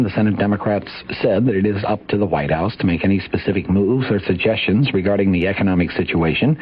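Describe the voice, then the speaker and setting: A man's voice: lowish voice, breathy edge, muffled recording. An American man reading a scripted news report as a radio newscaster on a vintage broadcast.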